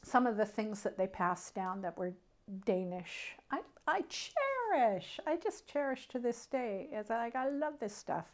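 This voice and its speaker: A middle-aged woman from Canada sharing personal memories casually as an interviewee, thinking aloud in a halting, conversational way. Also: fairly high pitch, very animated.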